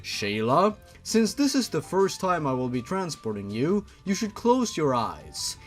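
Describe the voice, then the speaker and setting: Protective tendrilled father creature, acted by a man, formal and calmly commanding, storybook reading over music. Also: a little high, unhurried. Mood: calm, instructive.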